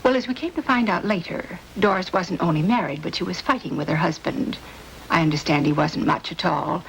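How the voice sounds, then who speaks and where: A woman's voice: lowish voice, brisk, vocal fry, slight background noise, rough recording. An actress playing a prim, fussy middle-aged woman who keeps a boarding house, in a 1950s radio drama.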